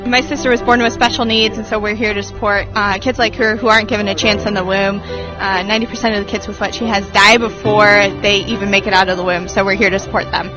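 A woman's voice: mid-range voice, fairly even intonation, fast, music in the background, poor audio. A woman at a march, earnestly answering a street interviewer from a little way off the mic. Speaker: march participant. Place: outdoors at a march.

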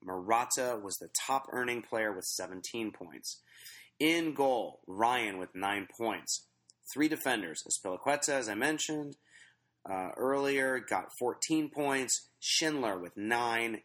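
Lowish-voiced male podcast host with a cold. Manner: relaxed and chatty, with many fillers. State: stuffy from a cold, sniffling. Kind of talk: casual podcast talk reading out fantasy football stats.

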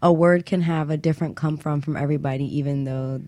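Low-voiced woman, dry close sound. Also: steady tone, fast, dark tone, dry recording. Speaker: radio show co-host. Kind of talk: casual reflective discussion.

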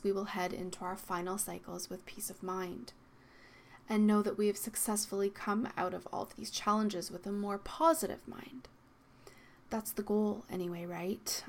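A young woman hosting her own podcast, talking in a soft, reflective way with frequent ums and likes, her voice slightly worn. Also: mid-range voice, gravelly, a little hiss or hum. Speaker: podcast host. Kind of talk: solo podcast monologue. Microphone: podcast microphone.

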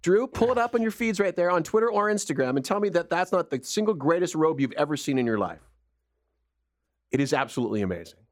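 A man's voice: on the high side, lively intonation, quick pace, dry recording, clean studio sound. A man from Canada co-hosting a radio show, telling stories in playful casual banter. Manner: playful, storytelling. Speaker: radio co-host.

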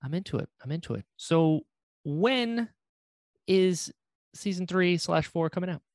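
Animated male podcast host, very dry sound. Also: sits high, a little slow. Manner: enthusiastic, affirming, echoes the guest. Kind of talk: casual interview chat.

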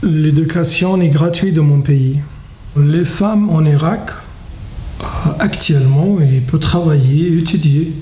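An Iraqi man in his thirties, Arabic his first language, speaking in slow, simple sentences. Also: on the high side, warm tone. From Iraq. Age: 30s. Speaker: interviewee. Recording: echoey room.

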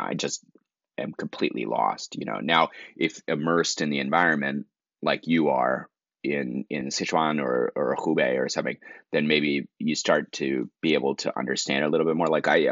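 A man's voice: a bass voice, creaky, fairly bright tone, no echo, lo-fi sound. A man co-hosting a podcast, talking casually and rambling with many "likes" and restarts. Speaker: podcast co-host. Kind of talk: casual conversation.

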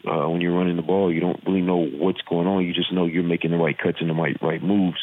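Deep-voiced Southern man on a tinny line. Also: flat delivery, quick pace. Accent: Southern American. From Virginia. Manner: earnest, reflective, with frequent fillers. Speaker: actor and former football player. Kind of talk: interview answers.

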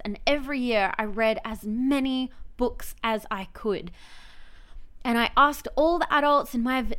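Clear, higher-pitched Australian woman podcaster. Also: a bit bright. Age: 30s. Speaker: podcast host. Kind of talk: chatty solo podcast monologue.

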